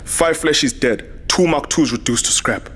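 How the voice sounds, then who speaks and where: An actor playing a weary, hot-tempered military security chief in a science fiction audio drama, speaking in a terse, dramatic way. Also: male voice, fairly high pitch, moderate pace, a bit bright, slightly rough. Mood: grim, terse. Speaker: lead actor and first-person narrator. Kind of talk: audio drama acting and narration.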